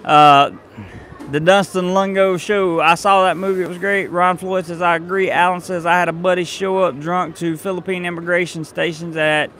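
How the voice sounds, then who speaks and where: A man's voice: high voice, little pitch movement, moderate pace, a bit bright. Chatty man on a live stream. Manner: rambling, full of fillers and restarts. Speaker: travel vlogger reading live chat. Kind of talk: casual live stream chat. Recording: faint street noise behind.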